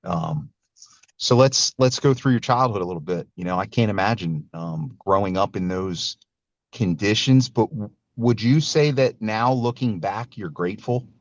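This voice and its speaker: Earnest podcast host on dull call audio. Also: male voice, lowish voice, expressive, moderate pace, dry recording. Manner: earnest, calls guest brother and man. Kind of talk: casual interview intro. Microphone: remote video call.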